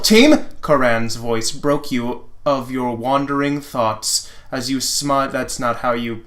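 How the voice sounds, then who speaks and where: A man's voice: medium pitch, fairly bright tone. A man with a wry, self-mocking manner, reading fan fiction aloud as host with informal commentary and dramatic narration.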